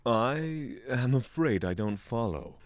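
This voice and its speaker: A man playing a solemn, courteous dragon lord in a fantasy audio drama, speaking in formal, measured phrases. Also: medium pitch, expressive, no echo. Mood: tactful. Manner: formal, measured, thoughtful diction.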